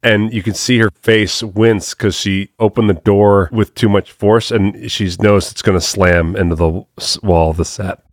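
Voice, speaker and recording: Man, deeper voice, casual chat, agreeable interjections, podcast co-host, dry sound. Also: fairly even intonation, unhurried, hardly any pauses, dry recording.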